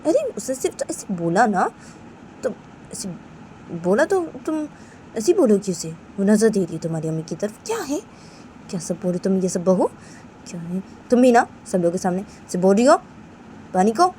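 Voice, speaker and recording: A woman's voice: mid-range voice, sing-song, moderate pace, pauses often, warm tone, slight background noise, lightly acted. A woman voicing a grandmother who chides her daughter-in-law in a reproachful tone. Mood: chiding. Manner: chiding, reproachful. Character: scolding grandmother, reproachful elder.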